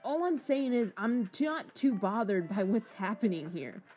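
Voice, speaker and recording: A woman acts out a jaded, grumbling schemer in a comic drama. The rant is exasperated and animated in pitch, with faint music underneath. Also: moderate pace. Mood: exasperated, doubtful. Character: grumbling, disillusioned political schemer with grudges. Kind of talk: hobby voiced comic drama.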